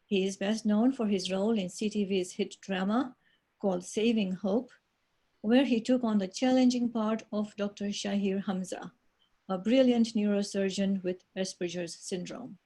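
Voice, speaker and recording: A woman's voice: mid-range voice, fairly even intonation, moderate pace, dark tone. A woman introducing the moderator on a video call by reading a prepared bio. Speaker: event organizer introducing the moderator.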